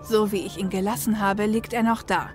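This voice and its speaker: Woman acting dry sarcastic female mercenary, protective, mid pitch, audio drama, music underneath. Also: steady tone, a little fast, natural voice. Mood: exasperated concern. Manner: wry, blunt. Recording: music bed behind the voice.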